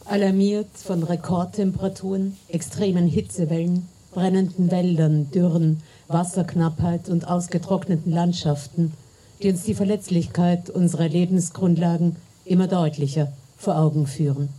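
A woman's voice: on the low side, fairly even intonation, normal speed, warm tone. A woman reading part of a formal declaration aloud.

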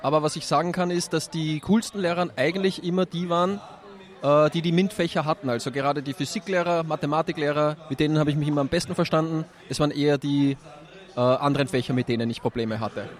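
A man's voice: on the high side, fairly even intonation, fast talker. A man, a teacher answering interview questions outdoors at a street festival in a friendly, candid way.

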